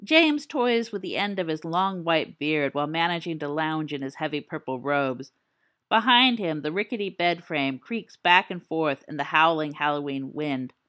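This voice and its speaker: A woman narrating a fan-fiction audiobook at an even, descriptive pace. Her voice is bright and clear, and her pitch moves up and down with a lively lilt. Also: normal speed. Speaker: podfic reader.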